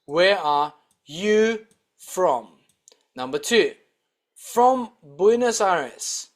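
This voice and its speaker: A man teaching a scripted English grammar lesson in a deliberate, instructional way, repeating example sentences. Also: high voice, expressive.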